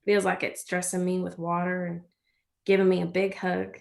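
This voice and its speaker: An American woman playing a gentle, chatty rural girl in a script reading, with folksy phrasing and a playful, comforting manner. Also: on the low side, completely flat delivery, moderate pace, creaky. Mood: soothing.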